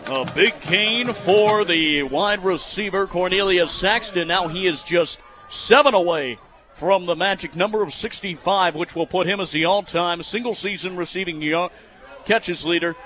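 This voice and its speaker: A young American man in his twenties doing live football radio play-by-play, excitable and quick to raise his voice on plays. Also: sits high, faint music behind. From United States, Michigan. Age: 20s.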